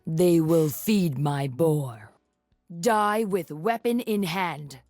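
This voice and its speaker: A woman's voice: deep voice, very slow, a little mellow, no echo. An actress voicing a fierce, cold female warlord for a video game, commanding, warlike and deadly serious. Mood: commanding, defiant. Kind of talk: video game character voice lines. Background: music.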